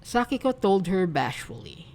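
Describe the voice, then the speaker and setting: Brief: female narrator for romantic fan fiction, low register, soft storytelling read. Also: very animated, normal speed, gravelly, a little hiss or hum.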